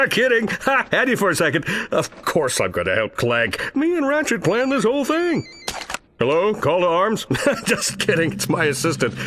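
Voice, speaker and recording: An actor playing a pompous, boastful celebrity space hero in an animated video game. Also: male voice, high-pitched, moderate pace, slight background noise, heavily acted. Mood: flippant, self-satisfied. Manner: pompous, self-aggrandizing. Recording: music behind the voice.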